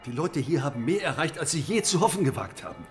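A sceptical comrade in a historical radio drama, has a fairly high, very animated voice. He objects firmly and soberly, with emphasis. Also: quick pace, soft background music. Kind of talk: historical audio drama dialogue.

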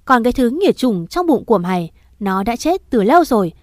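A woman's voice: on the high side, very animated, fairly quick, no echo, studio quality. A woman voicing a cruel, scheming wife who snarls her words through gritted teeth. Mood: spiteful.

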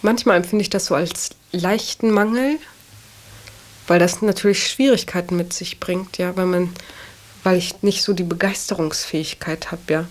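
A woman's voice: fairly low pitch, moderate pace. Reflective young woman chatting casually. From Germany. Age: 20s. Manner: often ends phrases with a questioning "ja". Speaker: interviewee. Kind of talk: reflective casual personal talk.

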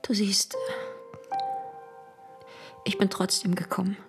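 A female actor playing an urgent, secretive lover in a radio drama, speaking with hushed intensity. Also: medium pitch, level tone, slow pace, gravelly, whispered. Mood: urgent, tender. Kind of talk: German radio drama acting. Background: music.

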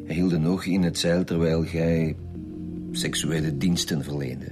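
A blunt, probing Flemish police interrogator with a deep voice. Radio drama, with music clearly playing behind. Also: male voice, fairly even intonation, unhurried. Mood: pressing.

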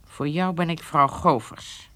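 A woman's voice: deep voice, a little slow, sharp tone. An actress playing a proud, unforgiving old woman in a radio drama, with a curt, cutting manner. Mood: bitter, cold. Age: older. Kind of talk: naturalistic radio drama dialogue.

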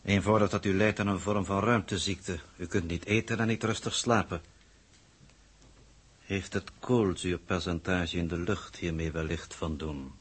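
A coolly clinical coordinator from a 1960s Dutch space radio serial. His voice is fairly low and husky. He chooses formal words precisely and sounds detached, faintly reproachful. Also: fairly even intonation, moderate pace.

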